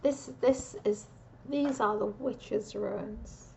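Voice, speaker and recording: A woman giving a casual, meandering psychic reading, pausing and restarting often, on a dull lo-fi recording. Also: a little high, gravelly, darkish tone, a little hiss or hum. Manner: meandering, with frequent restarts and pauses. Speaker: psychic reader.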